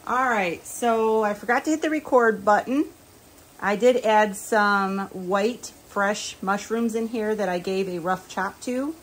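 A woman's voice: medium pitch, measured pace, a little hiss or hum. An older woman and home cooking vlogger, chatting casually and explaining each step plainly with small fillers in her kitchen.

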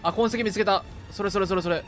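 A man casually chatting as he streams a co-op game, excitably exclaiming and often repeating short phrases. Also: high voice, quick pace. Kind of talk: casual co-op game chat with live reactions. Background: loud video game music and sound effects.

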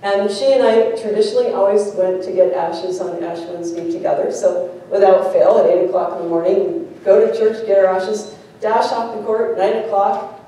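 A middle-aged American woman, a priest, giving a conversational sermon with an informal, animated storytelling manner. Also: medium pitch, normal speed, far from the mic. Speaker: priest, preacher. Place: large church sanctuary, speaking before a congregation. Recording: echoey church, picked up from afar.

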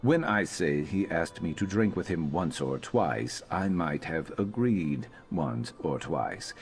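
A sardonic lizard-man tracker from a fantasy game, speaking in an extremely low voice. He is gruff, dry and disdainful in his deadpan delivery. Faint music plays behind him. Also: slow, heavily acted. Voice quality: very deep voice. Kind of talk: video game character dialogue.

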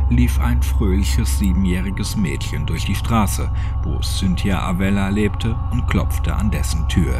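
A man reading a scripted horror audiobook in a measured, serious, documentary-like way. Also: low-pitched. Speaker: horror story narrator. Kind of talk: scripted audiobook narration of a creepypasta. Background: background music.

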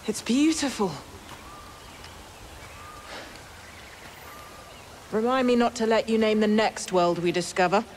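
A woman's voice: fairly high pitch, fairly quick, a little mellow, natural voice. Woman acting composed, wry female Jedi, ambient noise. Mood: dry, teasing. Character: wry, patient female Jedi, measured voice of caution. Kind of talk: cinematic video game acting.

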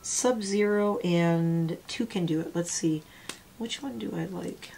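A woman's voice: lowish voice, slow, vocal fry, dark tone, slight background noise. Sniffly, chatty woman doing her makeup. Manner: chatty, rambling, talks while applying makeup. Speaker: makeup vlogger. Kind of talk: casual get-ready-with-me chat. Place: small home room with gaming chair.

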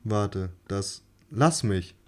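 A man voicing August, an odd, nervous young man who stalls and speaks flatly, in a radio play. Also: fairly low pitch, drawn-out words. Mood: deadpan. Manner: hesitant and stalling, flat deadpan. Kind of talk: amateur radio-play reading.

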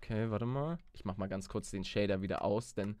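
A man hosting a Let's Play in lively casual banter, playful and excitable, often stammering and repeating words. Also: on the low side, brisk, no echo.

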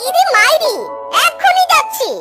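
A performer voicing a mischievous, foolishly self-satisfied male fox in a children's cartoon, with a squeaky, cheeky, boastful delivery. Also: animated, moderate pace, over loud music. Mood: cocky, eager. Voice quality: very high-pitched, squeaky. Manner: cheeky, boastful, repeats a pet exclamation.

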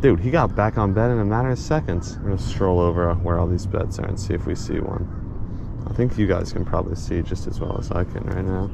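A young man with a fairly low voice talks excitedly while he fishes from a kayak, laughing and throwing in a lot of "dude." Outdoor noise from the water and the boat is clearly heard under his voice, as picked up by a small camera on the kayak. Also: fairly quick. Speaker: fishing vlogger. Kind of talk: casual running commentary while fishing.

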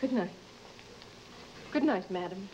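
A woman's voice: mid-range voice, animated, slow pace, a little mellow. An actress playing a deferential, earnest female household employee in old-time broadcast drama, on a muffled, very noisy recording.

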